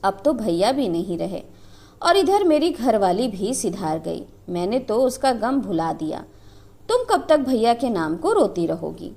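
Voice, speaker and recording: A woman's voice: mid-range voice, big pitch swings, a little slow, warm tone. A woman voicing a lecherous, brash young village man who teases and coaxes. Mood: coaxing. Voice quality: coaxing, teasing.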